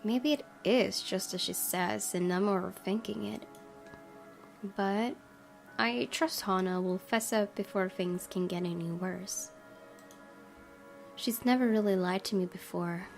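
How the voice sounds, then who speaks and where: A woman's voice: medium pitch, measured pace, gravelly. A woman hosting a gaming video, reading visual novel narration aloud. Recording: game music behind. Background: music.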